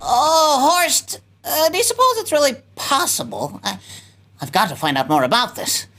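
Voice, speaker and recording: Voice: high-pitched, big pitch swings, moderate pace, sharp tone, voice pushed up, full character voice. A performer playing a flustered, stubborn male spaceship captain, stammering and hesitant in a comic radio play. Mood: insistent. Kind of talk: cold-read comic radio sci-fi play.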